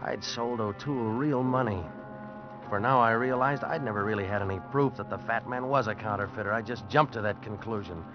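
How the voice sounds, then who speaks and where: A man's voice: lowish voice, fairly quick, over music, natural voice. An actor playing a desperate American man on the run, telling and acting out his ordeal intensely in an old radio drama. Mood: bitter, tormented. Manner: intense, dramatic, agitated pleading. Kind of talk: 1940s-50s radio drama narration and acting. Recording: muffled old radio recording.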